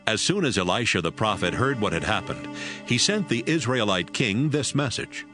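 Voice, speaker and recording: A man's voice: fairly low pitch, animated, moderate pace, over music. A man narrating a dramatized Bible reading as a scripted storyteller.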